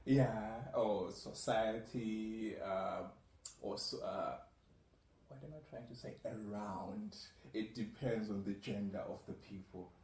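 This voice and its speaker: A man's voice: lowish voice, takes it slowly, a little mellow. A man hosting a podcast, interviewing guests in a conversational style. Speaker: podcast host and journalist. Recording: echoey room, distant mic, faint noise.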